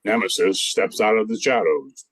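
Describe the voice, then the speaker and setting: A man's voice: lowish voice, normal speed, fairly bright tone, dry recording. A man narrating and announcing a scripted radio drama.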